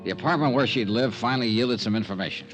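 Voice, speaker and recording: An actor playing a world-weary, terse insurance investigator in a 1950s radio detective drama, with a clipped, dry, brooding delivery. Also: male voice, medium pitch, fast talker, natural voice. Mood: businesslike. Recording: vintage radio recording with music.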